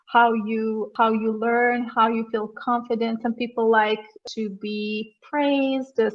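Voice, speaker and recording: A woman from Serbia with a Serbian accent, chatting casually as an English tutor on an online call. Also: on the high side. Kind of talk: casual conversational chat. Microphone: online video call. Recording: muffled, lo-fi online call audio.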